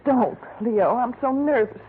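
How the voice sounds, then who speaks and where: An actress playing an anxious, pleading femme fatale in a melodramatic 1940s radio detective drama. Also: female voice, on the high side, lively intonation, unhurried. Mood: nervous. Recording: tinny, faintly noisy 1940s radio recording.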